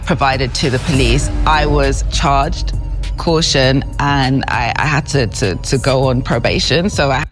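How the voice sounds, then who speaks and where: A woman explaining herself haltingly in an interview. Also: rumbling low, soft background music.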